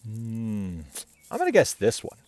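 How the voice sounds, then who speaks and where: A man reading a children's picture book aloud in an animated, playful way, chatting with and questioning the listener. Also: on the low side, a little slow, no echo. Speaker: picture-book reader, host.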